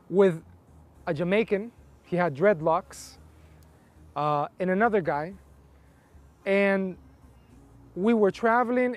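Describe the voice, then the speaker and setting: A middle-aged man from Colombia who grew up in Texas, giving a personal testimony as an interview guest. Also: high voice. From Colombia, raised in Houston, Texas from age eight. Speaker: interview guest telling his life story.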